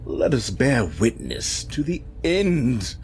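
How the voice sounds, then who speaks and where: An actor playing an insolent, scheming creature-maker in an audio drama, talking in a wordy, formal, sly way. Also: male voice, mid-range voice, very animated, slow pace, a little mellow, slight background noise. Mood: smug, defiant. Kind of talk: dramatic audio fiction acting.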